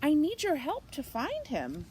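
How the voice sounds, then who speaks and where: A woman voicing a kind, practical woman farmer in a storybook, speaking in a calm, reassuring way. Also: sits high, unhurried, a little hiss or hum, voice pushed up, exaggerated voice.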